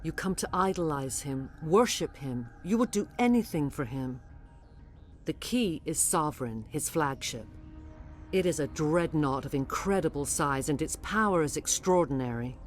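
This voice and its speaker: A solemn alien matriarch in a sci-fi game, her voice low. She speaks in grave, formal, measured phrases, a servant struggling against control of her mind. Music plays behind her. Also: animated. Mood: solemn, haunted. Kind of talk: dramatic video game voice acting.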